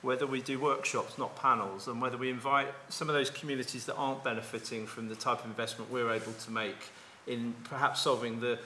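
Bright-voiced man in echoey hall. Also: medium pitch, flat delivery, slight background noise. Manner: blunt, self-deprecating, halting restarts. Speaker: conference panelist. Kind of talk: conference panel discussion.

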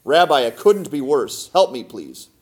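A desperate poor village man from a storybook, acted out by a male reader. He exclaims and pleads in a fairly high male voice, as someone worn down by misfortune. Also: very animated, measured pace. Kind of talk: storybook character voice.

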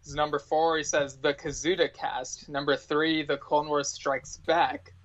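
A man's voice: fairly high pitch, flat delivery, unhurried. A man talking casually and informally as a podcast guest, in slightly dull, lo-fi sound. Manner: informal, peppers talk with "like".

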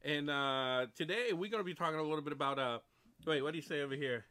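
A man's voice: a little high, quick pace, pushed and bright, smooth. A man in his late forties hosting a casual livestream chat, rambling and repeating himself, laughing often. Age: 40s. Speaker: stream host.

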